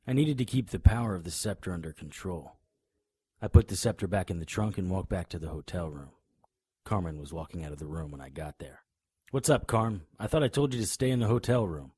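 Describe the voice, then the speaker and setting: An actor playing a brooding, wry investigator who narrates a noir-style audio drama in a dry, hard-boiled manner. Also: male voice, low-pitched, animated, a little fast, darkish tone, slightly rough, dry recording. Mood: matter-of-fact. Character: brooding, wry, stubborn male supernatural investigator. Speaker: narrator and lead character.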